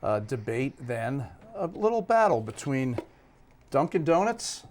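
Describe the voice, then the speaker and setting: A man's voice: mid-range voice, very animated, a little slow, darkish tone, a little hiss or hum. Man chatting casually as sports show host. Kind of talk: casual chat.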